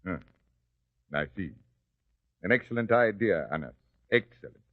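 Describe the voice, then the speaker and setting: An actor playing a proud but uneasy Roman governor who speaks condescendingly, in an old-time radio drama. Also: male voice, low-pitched, lively intonation, normal speed, dry recording. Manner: haughty and condescending, then hesitant. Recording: dry, dull lo-fi recording.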